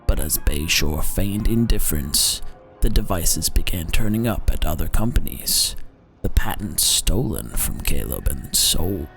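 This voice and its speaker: A man narrates dark lore in a theatrical, deliberate style. His gravelly voice swings widely in pitch as he stretches words for emphasis. The delivery is unhurried and dramatic throughout. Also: animated. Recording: music behind the voice. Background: background music.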